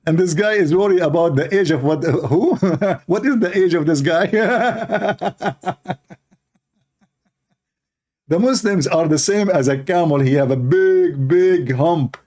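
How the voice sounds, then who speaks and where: A man's voice: high voice, slow, pauses often, a little mellow. A man whose first language is Arabic, giving sarcastic, mocking commentary and laughing often. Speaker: online debater.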